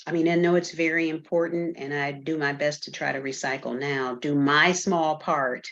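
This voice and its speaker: A woman with a low voice speaks earnestly and agreeably in a group discussion. Her audio is dull and muffled. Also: steady tone, normal speed, fairly bright tone. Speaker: meeting participant. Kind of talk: casual discussion.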